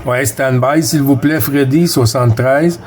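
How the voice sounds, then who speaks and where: A man's voice: mid-range voice, unhurried, darkish tone. A man with a Québécois French accent working as a CB radio operator, trading callsigns in a casual, friendly way. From Quebec, Canada. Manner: friendly, addresses others as friend.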